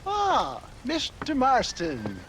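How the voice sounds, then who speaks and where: An actor playing an eccentric, genteel old rogue in a video game cutscene, chuckling through halting, flowery, grandiose speech. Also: male voice, extremely high pitch, huge pitch swings, fairly bright tone, slight background noise, exaggerated voice. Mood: amused, evasive. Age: older. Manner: theatrical, halting, chuckling, genteel florid phrasing.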